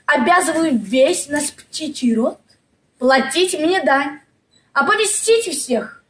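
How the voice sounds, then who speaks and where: A woman's voice: sits high, expressive, takes it slowly, fairly bright tone, full character voice. A woman voicing an imperious bird khan in a children's fairy tale play, giving orders in a pompous, commanding way. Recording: echoey room with faint noise.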